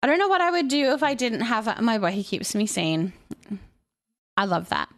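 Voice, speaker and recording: A woman's voice: on the high side, normal speed. A woman hosting a casual livestream, chatting away as she reads and answers comments, often repeating her phrases in an affirming way. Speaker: livestream host.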